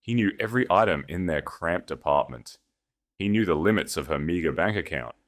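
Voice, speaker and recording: A man with a low-pitched voice narrates a piece of fiction. He reads from a script in a dramatic audiobook style. The delivery is that of a storyteller carrying the scene. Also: lively intonation, fairly quick.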